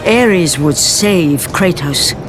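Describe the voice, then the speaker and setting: A solemn storyteller narrates a mythic Greek epic for a video game. The voice sits a little high and is measured and dramatic, with music playing behind it. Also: male voice, lively intonation. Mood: grave, dramatic.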